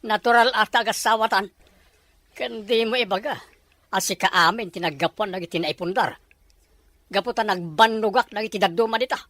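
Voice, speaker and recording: Woman doing an annoyed husband in a radio drama, blunt n reproachful, bright voice, very dry sound. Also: medium pitch, normal speed, dry recording, natural voice. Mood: reproachful, exasperated. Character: exasperated, blunt husband standing up to wife. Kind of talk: melodramatic radio-style drama acting.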